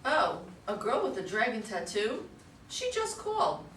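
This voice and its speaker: A woman in a staged play reading as an eager, lovestruck office assistant, excitable and theatrical. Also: fairly high pitch, measured pace, full character voice. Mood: bright, innocent. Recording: distant mics, echoey room, faint noise.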